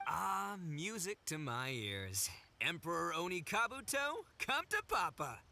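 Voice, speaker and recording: Brash, swooping oni loudmouth. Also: male voice, on the high side, very animated, measured pace, creaky, slight background noise, exaggerated voice. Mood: delighted. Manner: bombastic, theatrical, exclamatory. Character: brash, boastful, gullible young oni man.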